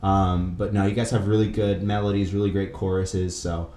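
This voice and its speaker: A man's voice: deep voice, level tone, normal speed, warm tone. A man hosting a podcast interview in casual, rambling, slangy talk peppered with "like". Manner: rambling, slangy, heavy use of "like". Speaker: podcast host interviewing a band. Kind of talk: casual chat. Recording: echoey room with faint background noise.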